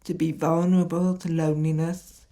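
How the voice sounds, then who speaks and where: A woman speaking reflectively and haltingly in a documentary, with deliberate pauses. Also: low voice, level tone, dark tone. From England. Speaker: deaf poet. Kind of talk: reflective spoken documentary, with read poetic lists.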